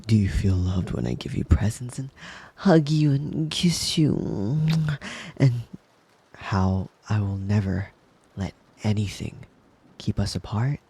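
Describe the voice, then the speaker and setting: A devoted wolf-boy boyfriend, acted by a man, murmurs in a very husky, breathy ASMR voice that often falls to a whisper. He is tender and possessive, lingering slowly over every endearment in a low, soothing bedtime tone. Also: animated, warm tone, full character voice. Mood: intense, possessive. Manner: tender, doting, slow and lingering with pet names. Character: devoted, possessive young male wolf-boy lover, doting.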